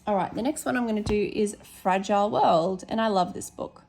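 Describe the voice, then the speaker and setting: An Australian woman, a teacher with a slightly hoarse voice, chatting casually with lots of ums as she shows her colouring pages. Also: medium pitch, brisk, a little mellow. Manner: chatty, many ums, corrects herself. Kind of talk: casual flip-through chat.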